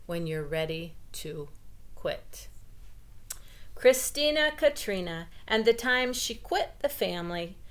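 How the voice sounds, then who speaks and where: A woman reading a children's picture book aloud in an expressive, deliberate way. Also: medium pitch. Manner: slow, deliberate, explanatory delivery for children. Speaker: storybook reader.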